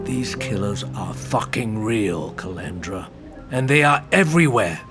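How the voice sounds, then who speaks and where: A man playing a gruff, blunt, foul-mouthed senior police detective with a gravelly voice in an audio drama. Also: on the low side, expressive, unhurried, fairly bright tone, faint music behind. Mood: intense, persuading. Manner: blunt, persuasive, intense.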